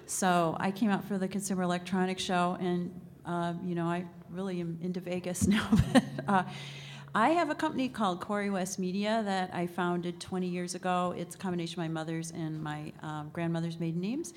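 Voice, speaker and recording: A woman's voice: lowish voice, fairly even intonation, fairly quick, slight background noise. A woman introducing herself on a conference panel in a friendly, upbeat way. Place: conference session room.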